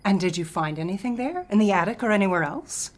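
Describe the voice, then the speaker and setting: Radio horror: actress as rational female intellectual, medium pitch, questioning and dryly articulate. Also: lively intonation, fast, close to an everyday voice. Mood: skeptical, curious. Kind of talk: radio horror drama acting.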